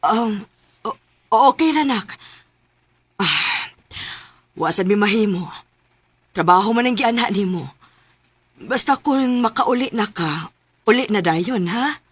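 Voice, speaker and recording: A woman's voice: medium pitch, animated, takes it slowly, pauses often, a bit bright, slightly rough, no echo, exaggerated voice. An actress playing an anguished middle-aged mother torn by an old love, in melodramatic Cebuano radio drama style. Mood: gently worried.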